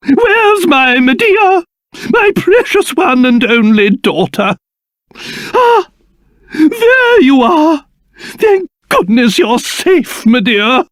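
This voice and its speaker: A man's voice: sits high, fairly bright tone, dry recording, studio quality, heavily acted. A man voicing a fussy, haughty old cursed king, a creature and doting father in a video game, with a pompous, theatrical, exclamatory manner. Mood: relieved. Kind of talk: video game character voice acting.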